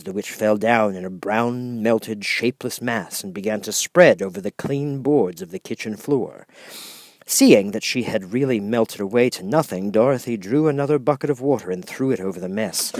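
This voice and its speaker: A man narrates a children's storybook in a fairly low voice. He reads in a measured, expressive storytelling manner. The recording is very dry, with almost no room sound. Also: dry recording. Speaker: audiobook narrator.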